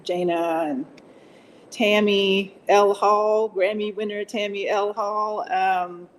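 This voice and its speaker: A woman's voice: medium pitch, steady tone, slow, slight background noise, rough recording. A woman speaking effusively and enthusiastically as a guest in a conversational interview. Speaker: actress and singer, interview guest.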